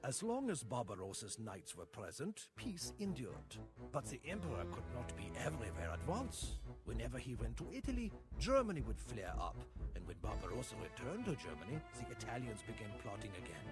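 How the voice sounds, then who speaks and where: A man's voice: mid-range voice, wildly swooping pitch. Dramatic male storyteller, sweeping intonation, over music. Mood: solemn, dramatic. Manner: theatrical, measured storyteller delivery. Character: grave male storyteller recounting medieval history. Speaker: narrator. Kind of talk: scripted dramatic storytelling narration.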